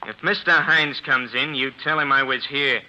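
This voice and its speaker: A man's voice: medium pitch, normal speed, pushed and bright. Hard-edged, menacing 1940s tough guy. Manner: curt, threatening. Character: menacing, stubborn male ex-convict tough guy. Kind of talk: 1940s radio acting. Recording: noisy, dull 1940s radio recording.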